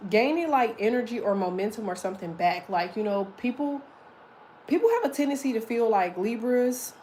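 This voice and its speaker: A woman with a deep voice giving a casual, chatty tarot reading, swearing freely as she talks. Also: fairly quick, a little mellow, slight background noise. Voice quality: deep, slightly muffled voice. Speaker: tarot reader.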